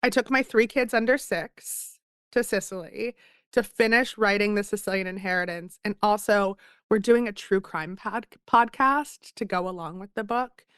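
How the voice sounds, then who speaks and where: Woman, 30s, guest author on a podcast; chatty storyteller, smooth, clean dry sound. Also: mid-range voice, normal speed, no room sound. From Philadelphia. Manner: chatty, self-deprecating, lively storytelling. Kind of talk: casual podcast interview.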